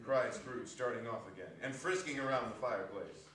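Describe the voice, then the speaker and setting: A man narrating a dramatic reading on stage, theatrical and expressive, relishing lists and repeated phrases. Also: medium pitch, brisk, slight background noise. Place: indoor performance space. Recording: echoey hall, distant microphone.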